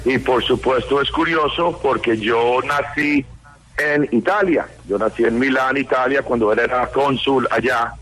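A Colombian man in his sixties, interviewed on the phone, talking with many pauses and fillers. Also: mid-range voice, a bit bright, low-quality recording. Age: 60s. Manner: hesitant, many fillers and restarts.

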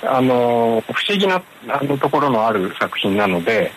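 A man's voice: medium pitch, sing-song, normal speed, fairly bright tone, poor audio. A man from Japan answering interview questions as a publishing professional, hesitant and careful in his phrasing, over a hissy remote link.

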